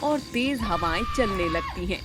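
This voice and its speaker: A woman's voice: fairly high pitch, huge pitch swings, a little slow, over loud music. A woman narrator reading a scripted horror story for a cartoon.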